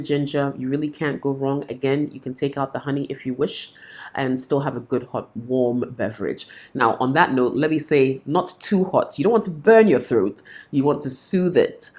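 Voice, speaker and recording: A woman's voice: rumbling low, darkish tone. A woman, a singer and vocal coach with a cold, giving practical tips to camera in a friendly, explanatory way. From Ghana. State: has a cold. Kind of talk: instructional talk to camera.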